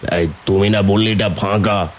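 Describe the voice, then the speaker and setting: An actor playing a gruff, bossy building secretary in an audio horror story, speaking in curt orders. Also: male voice, on the low side, steady tone, moderate pace, a little hiss or hum, lightly acted. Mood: annoyed.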